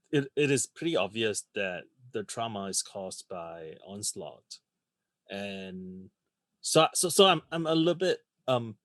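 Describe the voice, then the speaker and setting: A middle-aged man from Singapore, a podcast panelist talking in a halting way with many ums and restarts. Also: lowish voice, fairly bright tone, no echo. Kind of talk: casual discussion.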